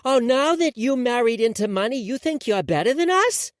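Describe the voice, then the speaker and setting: A man's voice: high-pitched, big pitch swings, takes it slowly, darkish tone, dry recording, studio quality, voice pushed up, full character voice. A man voicing a spoiled young woman who talks in a put-on childlike voice. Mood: indignant.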